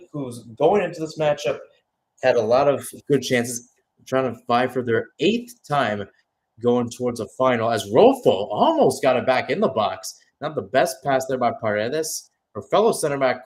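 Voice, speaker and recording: A man's voice: medium pitch, animated, moderate pace, rough recording. A man giving live radio-style football play-by-play, mixing calls of the action with conversational, musing analysis. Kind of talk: live radio sports commentary.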